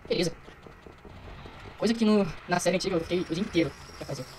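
Young adult Brazilian male gamer, quick casual muttered commentary, slangy, noisy background. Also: sits high, a little mellow. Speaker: gaming streamer. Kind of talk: casual let's play commentary.

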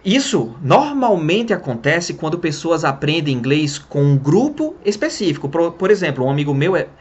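Animated young Brazilian man teaching. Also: fairly high pitch, moderate pace, slightly rough, highs cut off. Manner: lively, talks with his hands. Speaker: English teacher. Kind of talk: casual spoken lesson to camera. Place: small room in front of a printed wall.

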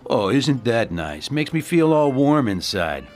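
A man's voice: medium pitch, big pitch swings, a little slow, faint music behind. An actor playing a sardonic, cocky well-dressed man with a smug, streetwise manner in a radio drama. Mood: mocking.

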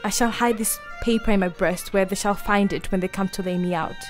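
A woman acting a frail young gentlewoman in a Gothic radio drama. She is gentle and frightened but brave, speaking at a middle pitch with faint music behind her. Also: fairly even intonation, fairly quick, lightly acted. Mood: weary, fearful. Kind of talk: radio play acting, partly diary narration.

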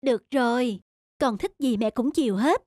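A woman acting a high-voiced cartoon stepmother. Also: moderate pace, a little mellow, slight background noise, natural voice. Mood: sweet, doting. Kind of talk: cartoon dialogue.

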